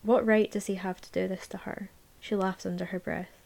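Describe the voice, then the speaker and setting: A woman narrating a novel aloud in a measured, sometimes hushed voice. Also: mid-range voice, flat delivery, vocal fry. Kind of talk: audiobook narration, present tense.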